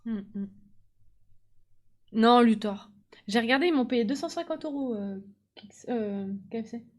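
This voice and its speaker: A woman's voice: on the high side, measured pace. A young woman streaming live, chatting casually and teasing her guest while greeting viewers.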